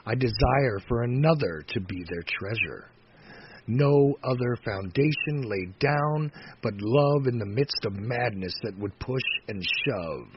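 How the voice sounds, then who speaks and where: A man reciting poetry dramatically, in a solemn, measured manner that moves between hushed phrase endings and emphatic phrases. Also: medium pitch, expressive. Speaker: poetry reader. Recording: dull lo-fi recording with faint noise.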